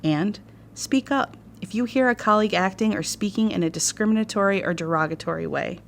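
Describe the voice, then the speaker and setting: A woman with an American accent reading scripted instructional narration in a measured, formal way. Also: mid-range voice, gravelly. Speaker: training narrator.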